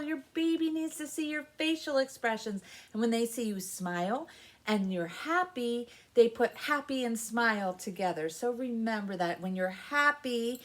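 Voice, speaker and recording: Middle-aged woman, higher-pitched voice, unhurried, encouraging children's librarian, simple instructional talk, sing-song delivery. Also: fairly bright tone. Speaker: children's librarian leading baby story time. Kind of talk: instructional talk to parents with songs and rhymes. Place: small indoor room with children's alphabet backdrop.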